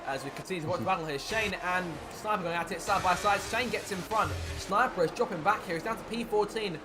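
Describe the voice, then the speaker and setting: A man commentating a sim racing league, calling the action excitedly while his voice is wearing thin. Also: sits high, fast talker, music in the background. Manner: excited play-by-play, rising with the action. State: losing his voice. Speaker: lead commentator.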